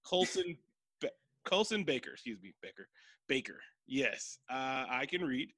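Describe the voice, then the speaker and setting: A man's voice: medium pitch, sing-song, moderate pace, pushed and bright, no room sound. A man co-hosting a podcast, chatting casually about a movie in a loose, joking way and swearing freely. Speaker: podcast co-host. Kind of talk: casual movie review chat.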